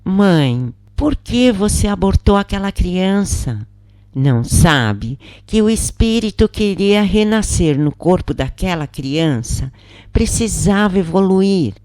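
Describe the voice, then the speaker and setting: A woman's voice: lowish voice, expressive, slow pace, mellow, no echo, pitched above the performer's own voice. A woman with a Brazilian accent voicing a devout, earnest daughter who questions and instructs her mother in a story.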